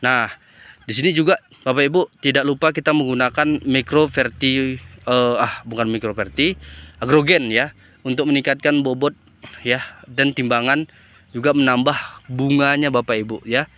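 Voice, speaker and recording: Smooth-voiced man. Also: mid-range voice, fairly even intonation, normal speed, a bit bright. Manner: hesitant, repeatedly addressing the audience. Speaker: farming channel host. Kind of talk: casual field vlog addressed to farmers. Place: outdoors in a chili field. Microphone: phone at arm's length.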